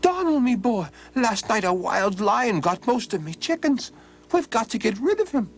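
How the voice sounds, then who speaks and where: A man voicing a greedy old farmer who blusters and rages in a strained, high voice. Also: sing-song, normal speed, gravelly, slight background noise, full character voice. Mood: urgent.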